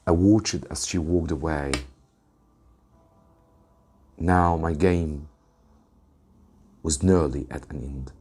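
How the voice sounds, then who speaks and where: A man's voice: rumbling low, takes it slowly, pauses often, mellow, slightly rough. A man reads a novel aloud as a teacher, in a dramatic audiobook style. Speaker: English teacher reading a story aloud.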